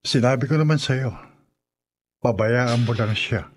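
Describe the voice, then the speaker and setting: An actor playing a calm, unbending husband who refuses to help his wife, in a radio drama. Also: mid-range voice, measured pace, close to an everyday voice. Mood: cold. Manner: calm and dismissive.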